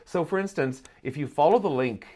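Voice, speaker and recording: A man's voice: fairly high pitch, a little fast, a little mellow. A man who is a playwright and performer, talking casually as a podcast guest with playful exaggeration. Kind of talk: casual, animated interview chat.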